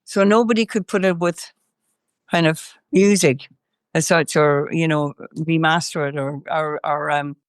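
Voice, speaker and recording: A woman's voice: sits low, steady tone, a little slow, clean tone, no echo, clean studio sound. An older woman from Ireland, a singer interviewed as a guest, talking in a rambling way with many fillers and restarts while chewing gum. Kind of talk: casual interview chat.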